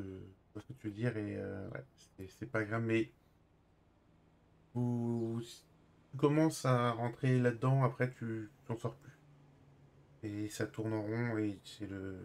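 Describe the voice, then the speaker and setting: A man chatting casually while live-streaming a role-play game, halting and often trailing off. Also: lowish voice, vocal fry, a little mellow.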